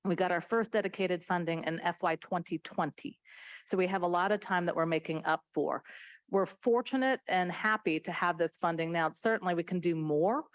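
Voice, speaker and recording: A woman's voice: fairly low pitch, moderate pace. A woman speaking as a health official, giving an earnest, emphatic answer on a panel without a script. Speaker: CDC official and emergency physician, panelist.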